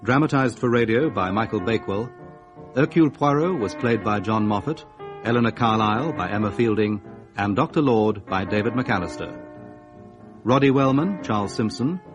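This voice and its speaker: A man's voice: lowish voice, moderate pace. A man with a British accent reading formal scripted credits as a radio announcer. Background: guitar-like plucked music.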